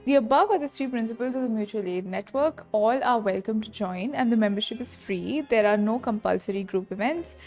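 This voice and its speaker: A woman's voice: fairly high pitch, a little fast, hardly any pauses, a little mellow. A woman gaming streamer giving casual playthrough commentary and reading game text aloud. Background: game music.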